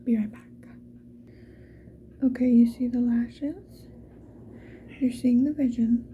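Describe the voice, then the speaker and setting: A woman whispering breathily in a casual, unhurried ASMR get-ready-with-me chat, with self-deprecating murmured asides. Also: fairly high pitch, steady tone. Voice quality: breathy whisper, mostly unvoiced. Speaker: ASMR video creator. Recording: dull, muffled sound with faint noise.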